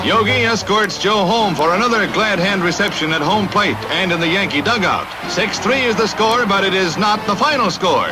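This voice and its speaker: An American man announces sports in classic 1950s newsreel fashion, his voice pitched high. The scripted delivery is punchy and dramatic. Loud music plays under the vintage soundtrack. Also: normal speed, few pauses, low-quality recording. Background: loud music under the narration.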